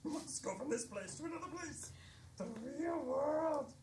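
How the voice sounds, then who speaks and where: Lady putting on a weird flustered oracle doll, high rambly voice, far off in an echoey room, improv comedy. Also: animated, normal speed, darkish tone. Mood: portentous. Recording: echoey stage, distant mic, faint noise.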